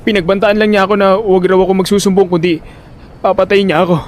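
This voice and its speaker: Man playing a high-voiced, flustered Filipino big brother. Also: level tone, fast, close to an everyday voice. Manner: flustered, stammering when pressed, exasperated. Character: panicky, talkative older brother, a debt collector witness. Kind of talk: first-person narration and dialogue in Filipino audio drama.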